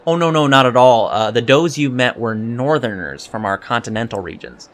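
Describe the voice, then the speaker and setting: A man's voice: medium pitch, moderate pace, close to an everyday voice. A man playing an earnest young alien cadet in an audio drama, speaking politely and precisely with hesitant ums. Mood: chatty, fond. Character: earnest young male alien Starfleet cadet, eager and scholarly. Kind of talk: audio drama interview acting.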